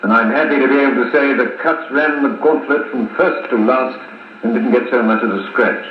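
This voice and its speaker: A man acting a formal, deliberate, middle-aged colonel narrating grim events in a 1950s radio serial. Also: medium pitch, muffled recording, natural voice. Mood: grave, solemn. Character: measured, authoritative British intelligence colonel recounting grim events. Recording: scratchy, echoing 1950s wire recording.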